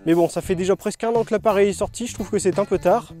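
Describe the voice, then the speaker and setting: A man's voice: sits high, fast, warm tone, faint music behind. A man giving an informal, conversational product review as a motorcycle gear reviewer. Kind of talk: casual product review narration and riding chat.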